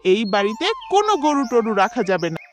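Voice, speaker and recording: Cartoon tigress aunt, female actor: hard-hearted, dismissive, animated intonation, over background music. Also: on the high side, brisk. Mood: stern refusal. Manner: curt, dismissive. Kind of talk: cartoon voice acting.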